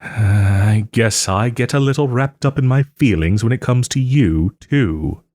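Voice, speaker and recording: A man playing a smug but soft-hearted nobleman and former lover in an audio roleplay, suave and refined, with gentle teasing and hesitant pauses. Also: medium pitch, no room sound, close to an everyday voice. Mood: apologetic, earnest. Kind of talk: audio roleplay, dramatic monologue to listener.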